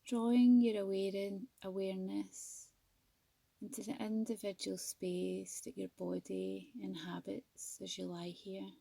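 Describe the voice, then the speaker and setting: A woman leads a guided meditation at a very unhurried pace. Her voice is soft and husky, with a creaky edge. She sounds gentle and soothing, leaving measured pauses between phrases. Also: mid-range voice, flat delivery, no room sound. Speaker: yoga nidra teacher.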